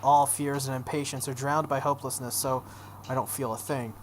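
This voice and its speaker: A woman's voice: a bass voice, flat delivery, fairly quick. A woman hosting a video game playthrough, reading a visual novel aloud expressively with offhand casual asides. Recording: some background noise.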